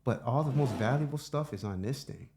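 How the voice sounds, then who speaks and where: A middle-aged man hosting a podcast in his home studio, talking in a relaxed, reflective way and thinking aloud with restarts. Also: medium pitch, a little fast, slight background noise. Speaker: podcast host. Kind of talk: casual conversation.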